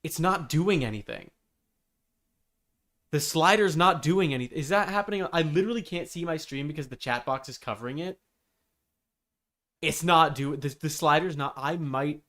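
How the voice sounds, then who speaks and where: A man's voice: on the high side, moderate pace, long pauses, fairly bright tone. A man doing casual live game-stream commentary, muttering to himself and repeating his phrases. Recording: noticeable background noise.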